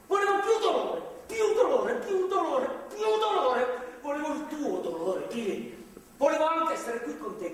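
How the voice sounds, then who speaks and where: High-voiced, desperate husband in echoey hall. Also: male voice. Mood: anguished, frenzied. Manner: halting, imploring, swinging from hushed to shouting. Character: desperate born-again reformed-alcoholic husband, pleading and volatile. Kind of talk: theatrical stage acting, impassioned monologue. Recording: echoey theatre hall, distant mic, faint noise.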